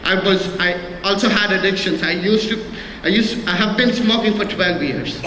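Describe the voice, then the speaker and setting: Man, 30s, church testimony, halting and earnest; bright tone, long hall echo. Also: sits high. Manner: halting, many restarts and repeated words; earnest and plain. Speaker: church member giving testimony. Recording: echoey hall with faint noise.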